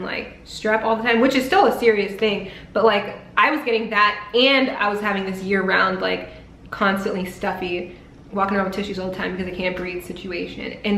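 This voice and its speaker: A woman's voice: medium pitch, fairly bright tone. A young woman talking casually to her viewers, filling her sentences with "like". Her voice sounds a little strained from a recent throat surgery. She's in an echoey room with a faint hum of ambience behind her. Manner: chatty, peppered with "like". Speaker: vlogger. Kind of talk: casual vlog talk to camera.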